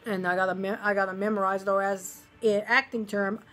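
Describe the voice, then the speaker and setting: A woman in her thirties with a Cajun accent and a naturally deep voice, rambling casually in a home video diary, full of ums and self-corrections. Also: level tone, fairly quick, fairly bright tone, faint music behind. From Southern US. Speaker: vlogger, aspiring actress. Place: living room at home, indoors. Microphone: camera close at face level.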